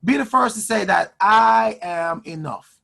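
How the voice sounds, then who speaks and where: Gravelly, husky motivational host. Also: male voice, high voice, a little slow, bright, forceful tone. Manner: emphatic, repeats phrases for effect. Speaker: host and finance mentor. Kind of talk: motivational speaking on a live call. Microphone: video call.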